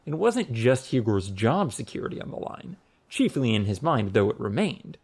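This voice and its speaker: Male storyteller for comic fantasy audiobook, mid-pitched, highly varied intonation, wry delivery. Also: very animated, moderate pace, a little mellow, husky. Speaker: audiobook narrator. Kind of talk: scripted story narration.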